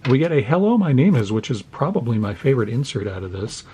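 A man from the United States, slightly hoarse, opening trading cards at his desk at home and narrating each pull with deadpan mock horror. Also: mid-range voice, fast, a little hiss or hum. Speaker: card-opening channel host. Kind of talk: mock-scared card-opening commentary. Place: home desk with Halloween decor.

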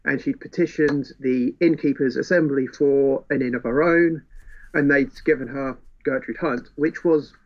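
A man's voice: medium pitch, steady tone, moderate pace. A man in a casual book discussion, telling the story with wry relish. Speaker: podcast co-host. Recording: dull, muffled lo-fi audio.

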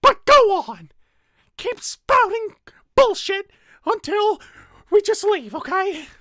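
Lady doing a super high little cartoon flower, bitter and sweary, sarcastic, really dry close sound. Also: expressive, takes it slowly, fairly bright tone, no echo, full character voice. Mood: furious. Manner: sarcastic, cursing, stammering when cornered. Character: small bitter foul-mouthed talking flower, emotionally numb and angry. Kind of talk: voice-acted fan roleplay drama.

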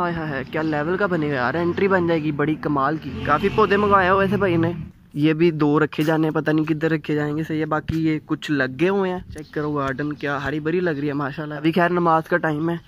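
A man's voice: fairly high pitch, fairly even intonation, fairly quick, dull audio, slight background noise. A young man from Pakistan vlogging casually, talking into a phone camera held at arm's length and filling his talk with little tags like "yaar". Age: young adult. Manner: chatty, fills talk with "thik hai" and "yaar". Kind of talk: casual vlog chat. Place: home rooms, garden and rooftop.

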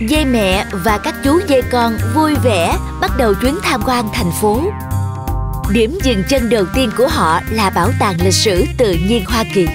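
Higher-voiced woman narrating a children's story. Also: lively intonation, a little slow. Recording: children's music behind the voice.

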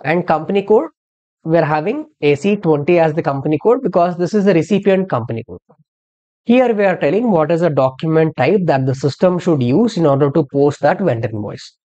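A software instructor narrating a step-by-step tutorial in an explanatory way, posing questions and then answering them. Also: medium pitch, animated, a little fast, highs cut off. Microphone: screen-recording voice-over.

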